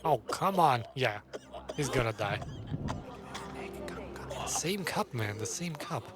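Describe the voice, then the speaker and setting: A man's voice: medium pitch, animated, slow pace. A man hosting a gaming channel, making casual, reactive remarks over game cutscenes. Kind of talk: casual let's-play commentary.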